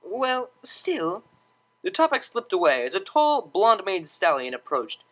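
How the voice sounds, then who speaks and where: A woman's voice: on the low side, big pitch swings, normal speed. A woman reading a scripted story aloud as its narrator.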